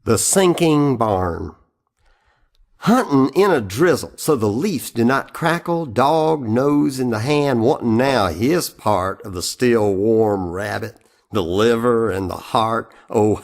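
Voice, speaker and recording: A man's voice: mid-range voice, slow. A man reading poetry aloud in a recitation style. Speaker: poetry reader.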